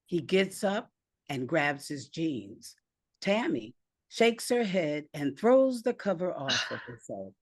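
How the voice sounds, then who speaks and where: Deep, slow-paced woman narrator. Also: big pitch swings, dry recording, studio quality. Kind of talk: scripted narration, screenplay read aloud.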